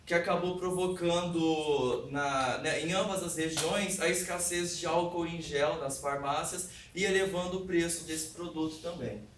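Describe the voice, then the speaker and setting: A man with a Brazilian accent giving an academic presentation, explaining in a halting way and correcting himself as he goes. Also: fairly high pitch, fairly bright tone. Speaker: presenter of a research paper. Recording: echoey room, distant mic, faint noise.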